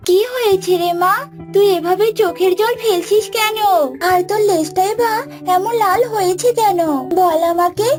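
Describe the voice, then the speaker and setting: A woman's voice: extremely high pitch, fairly even intonation, normal speed, darkish tone, soft background music. A woman voicing a protective mother cow in a children's cartoon, motherly in manner, chiding and pleading. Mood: worried, consoling. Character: protective mother cow, scolding yet tender.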